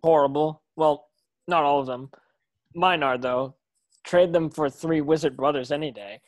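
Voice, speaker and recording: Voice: medium pitch, moderate pace, close to an everyday voice. An actor playing a shy, polite young wizard boy in a table read, speaking hesitantly. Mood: friendly, hesitant. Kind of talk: online table read of a screenplay.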